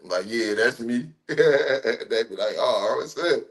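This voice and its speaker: A man's voice: mid-range voice, expressive, takes it slowly. A man in his thirties from Ohio, a rapper chatting casually as a podcast guest in a laid-back, slangy, rambling way with many fillers. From Ohio, USA. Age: 30s.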